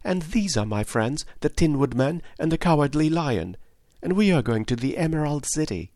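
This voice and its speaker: A man's voice: a little high, big pitch swings, moderate pace, a little mellow, no room sound, clean studio sound, pitched above the performer's own voice. A man voicing an earnest, eager young girl in a storybook reading.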